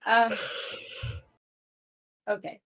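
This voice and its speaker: A woman's voice: extremely slow, fairly bright tone, rough recording. A woman playing a tabletop roleplay, speaking casually with a tentative, polite manner. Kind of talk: casual chat mixed with in-character banter.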